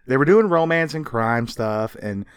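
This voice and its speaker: A man's voice: medium pitch, animated, a little slow, clean tone, dry recording, clean studio sound. A man hosting a podcast, telling history in a casual, colloquial and explanatory way. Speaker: podcast host.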